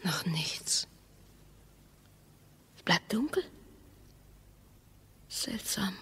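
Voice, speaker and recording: A very breathy, bitter, anxious wife. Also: female voice, fairly low pitch, big pitch swings, drawn-out words, heavily acted. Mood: hushed, bitter. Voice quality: breathy, husky. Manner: volatile, moving between breathy whispers and sharp outbursts. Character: anxious, bitterly mocking wife trapped underground with her husband. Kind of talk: radio play acting.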